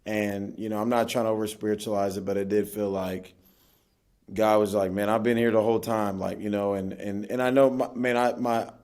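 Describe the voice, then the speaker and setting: A man's voice: fairly low pitch, fairly even intonation, a little fast, a little mellow. A man who is a pastor, talking about faith in a reflective, earnest way as a podcast guest. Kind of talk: casual conversational interview.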